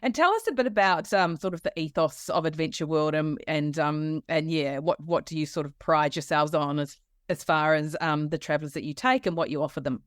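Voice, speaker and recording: A woman hosting a travel podcast, her voice low for a woman. She asks rambling, friendly questions with many restarts. The recording is dry and close. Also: a little fast, no echo. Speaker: podcast host.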